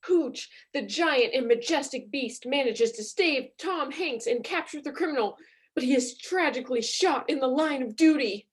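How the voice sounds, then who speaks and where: A woman's voice: sits high, lively intonation, normal speed. A woman narrating a comedy in a grand, tongue-in-cheek mock-epic style.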